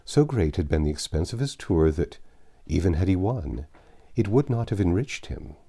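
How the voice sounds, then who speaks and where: A man narrating a classic novel in an expressive, measured style with dramatic pauses. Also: deep voice, vocal fry, slightly rough. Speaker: audiobook narrator. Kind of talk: expressive literary storybook narration.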